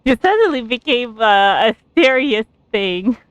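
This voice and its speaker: Woman, medium pitch, smooth clear voice, unhurried, casual giggly gameplay commentary, close dry mic. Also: very animated, no room sound, dull audio. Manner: giggly, amused. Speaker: game streamer. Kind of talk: casual live gameplay commentary, reading some dialogue aloud.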